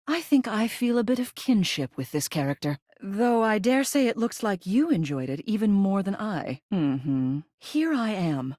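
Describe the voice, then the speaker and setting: Genteel older female game character, animated intonation, dry wit, composed theater-critic manner, close dry recording. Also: mid-range voice, normal speed, few pauses, no echo. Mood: enthusiastic. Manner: refined, composed, dryly witty theater critic. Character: genteel, wry older female ceiling with a chandelier, theater lover. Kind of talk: video game voice acting.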